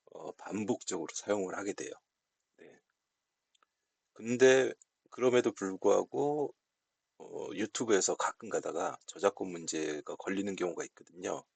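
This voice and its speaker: A man giving a casual, unscripted software walkthrough, explaining politely step by step. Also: sits low, creaky, slightly rough, dry recording. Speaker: software tutorial presenter.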